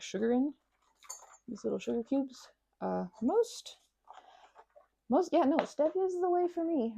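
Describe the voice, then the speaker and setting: A woman's voice: fairly high pitch, gravelly, warm tone. A woman performing a casual best-friend ASMR roleplay, chatting in a playful, scatterbrained way and rambling as she goes.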